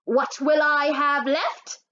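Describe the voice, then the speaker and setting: Woman reading as a deliberate, stern math teacher. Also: sits high, steady tone, sharp tone, dull audio, pitched above the performer's own voice, heavily acted. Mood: stern, questioning.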